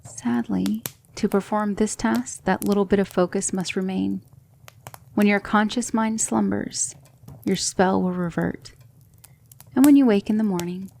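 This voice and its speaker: A gentle demonic mentor of magic, played by a woman, guides in an ASMR roleplay. The voice is soft and dark with a gravelly fry, measured and reassuring, often sinking to a whisper. A faint noise sits behind it. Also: medium pitch, lightly acted. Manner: measured, instructive, hushed.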